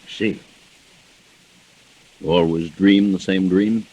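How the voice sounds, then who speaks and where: A man's voice: low voice, fairly even intonation, takes it slowly, warm tone, lo-fi sound. A man playing an avuncular family doctor in a 1940s radio drama, soothing and a little dismissive. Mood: probing, dismissive. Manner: chuckling, soothing, patronizing. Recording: vintage 1940s radio recording.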